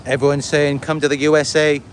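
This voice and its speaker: Male livestreamer, Welsh accent, voice a bit on the high side, loose rambling delivery, light street noise behind. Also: flat delivery, brisk. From Wales. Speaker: livestream host and content creator. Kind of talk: casual livestream monologue, answering viewer comments.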